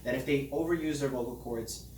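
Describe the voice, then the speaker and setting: A man who is a doctor, calmly explaining health matters in plain everyday words during a casual chat. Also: mid-range voice, brisk, echoey room, far from the mic, a little hiss or hum. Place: hot room under studio lights.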